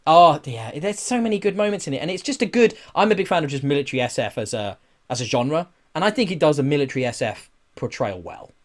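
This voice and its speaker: A man's voice: fairly high pitch, expressive, fast talker. A man co-hosting a podcast, chatting casually about films and games in an enthusiastic, emphatic, sweary way. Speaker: podcast co-host. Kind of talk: casual film and game chat.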